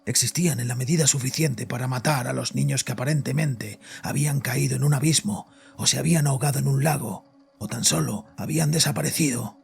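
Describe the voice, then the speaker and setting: An actor playing a guilt-ridden, frightened father confessing to his psychiatrist in a horror audio drama, agitated and halting. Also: mid-range voice, vocal fry, breathy edge, exaggerated voice. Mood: brooding dread. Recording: voice over background music.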